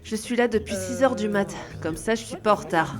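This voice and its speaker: A woman's voice: medium pitch, fairly quick, natural voice. A woman playing a disgruntled office employee in a comedy audio drama. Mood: gossipy. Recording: music clearly behind the voice.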